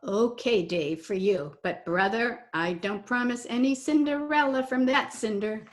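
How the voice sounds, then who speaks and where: Woman playing a high-voiced, brassy Broadway dame. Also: unhurried, faint music behind, lightly acted. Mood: sardonic. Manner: sardonic, slangy wisecracks. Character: brassy wisecracking Broadway dame, tough but obliging. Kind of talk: 1940s radio drama acting.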